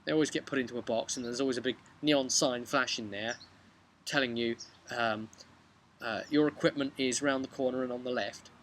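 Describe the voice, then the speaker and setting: A man in his thirties with a bright, forward voice at an ordinary pitch, recapping a role-playing session. He talks conversationally and haltingly, as a game master thinking aloud. The recording is made outdoors, with faint ambient noise. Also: fairly even intonation. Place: outdoors on a bench beside a brick house.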